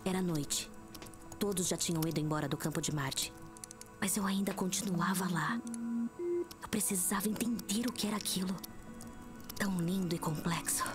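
A woman's voice: on the low side, normal speed, long pauses, lightly acted. An actress playing a determined hacker, narrating her story intensely and reflectively in an audio drama. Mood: absorbed. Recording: loud music behind voice.

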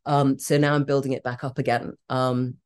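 A woman with a British accent speaks in a remarkably deep, low register for a woman. She is middle-aged and talks frankly and with emphasis, laughing easily as a podcast guest. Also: level tone, moderate pace, a little mellow. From Australia. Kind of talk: candid conversational interview.

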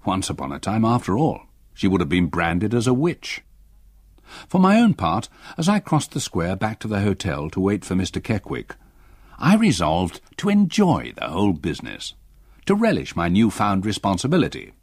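An older English gentleman telling his tale on radio. The voice is low and husky, with lively swoops and a measured literary delivery, recorded close and dry. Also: no echo, full character voice. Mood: wry, reflective. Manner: measured, expressive storyteller. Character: older solicitor recalling his haunted past. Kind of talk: literary first-person narration in radio drama.

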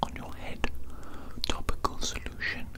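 A man in his thirties playing an evil mad doctor in a whispered ASMR roleplay, hushed, rambling and repetitive, with mock-polite menace. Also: unhurried. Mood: soothing, creepy. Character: evil, contemptuous male mad doctor, softly menacing yet soothing.

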